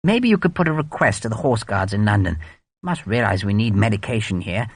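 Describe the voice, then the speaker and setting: A man's voice: on the low side, expressive, brisk, husky, no echo. A man voicing a plump, flustered, pompous colonel who stammers and hesitates. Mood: fretful.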